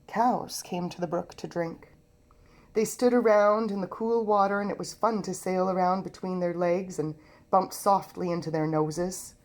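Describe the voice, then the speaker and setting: A woman narrating a children's storybook aloud in an expressive storytelling manner. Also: on the low side, measured pace. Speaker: storybook reader.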